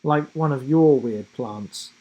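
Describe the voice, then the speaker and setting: A man's voice: mid-range voice, slow pace, a little mellow, natural voice, low-quality recording. A man voicing a plucky, sardonic girl adventurer in a children's storybook, with sassy exclamations. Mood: wry, confident.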